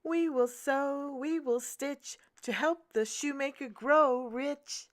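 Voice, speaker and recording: A woman's voice: high voice, slow, voice pushed up. A woman voicing a tiny, merry elf in a children's storybook, chanting in a sing-song way. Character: tiny merry singing elves, kind and busy.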